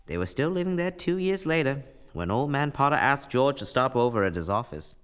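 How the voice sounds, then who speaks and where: Folksy storyteller acted by a man, lively pitch. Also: a little fast, smooth. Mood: matter-of-fact. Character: folksy, knowing narrator addressing angel Clarence. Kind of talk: radio play narration.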